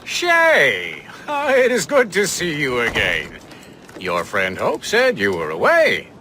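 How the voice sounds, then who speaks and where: Bright, animated old scholar. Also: male voice, a little high, takes it slowly, slight background noise, exaggerated voice. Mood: genial, pleased. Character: genial, chatty old inventor and scholar. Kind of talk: dramatic video game cutscene acting.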